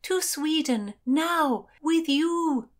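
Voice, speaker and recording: A woman's voice: high voice, very slow, pitched above the performer's own voice. A woman voicing an earnest, plucky young woman on the run in an audiobook, with pleading, old-fashioned formal speech. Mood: desperate pleading. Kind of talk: audiobook character acting.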